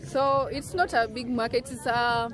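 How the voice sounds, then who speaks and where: A woman's voice: on the high side, fairly even intonation, unhurried, a crowd in the background. A woman with a Kenyan accent vlogging a road trip outdoors, chatty and laughing often as she talks straight to her viewers. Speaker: travel vlogger. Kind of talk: casual travel vlog narration. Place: outdoors by a roadside market. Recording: loud outdoor noise.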